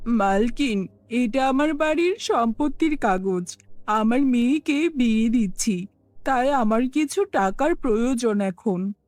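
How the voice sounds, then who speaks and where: A poor village mother from a cartoon played by a woman, gentle and earnest. Her high voice swings widely as she pleads, recorded dry over faint music. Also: expressive, measured pace, no echo. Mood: humble pleading. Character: poor, self-sacrificing ageing village mother, gentle and worried. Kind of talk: cartoon fairy-tale voice acting.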